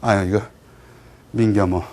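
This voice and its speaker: A man's voice: fairly low pitch, steady tone, normal speed, a little mellow, pitched below the performer's own voice, lightly acted. A man voicing a timid Korean king in a history story, hesitant and apologetic. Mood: meek.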